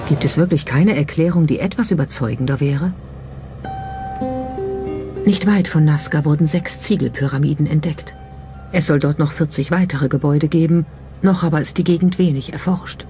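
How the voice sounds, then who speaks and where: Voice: mid-range voice, moderate pace, dark tone. A documentary narrator reading a scripted narration. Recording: music under the voice. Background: background music.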